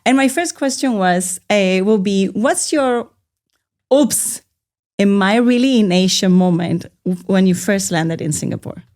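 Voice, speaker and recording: A woman hosting a round-table podcast interview, upbeat and quick to laugh, with loose, filler-heavy phrasing. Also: mid-range voice, darkish tone. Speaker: podcast host.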